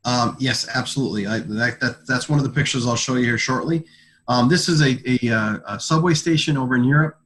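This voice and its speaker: Man giving a halting product pitch. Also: fairly bright tone, slight background noise. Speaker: product sales presenter. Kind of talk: informal product presentation. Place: conference call.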